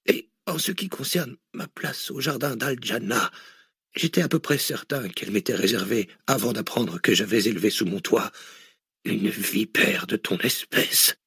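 A man voicing a gravely wounded old billionaire who speaks in a weak, breathy whisper, slow and mocking. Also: on the low side, expressive, fairly bright tone, no echo, clean studio sound, full character voice. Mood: solemn. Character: dying old male billionaire, weak, sly, sarcastic.

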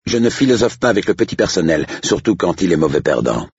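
A man's voice: low voice, big pitch swings, fast, darkish tone, breathy edge, no room sound, highs cut off, voice pushed up, exaggerated voice. A man voicing a cocky older estate agent in an audiobook story, scornful and taunting. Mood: provocative, smug. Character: older male estate agent, sneering, mocking, cocky swindler.

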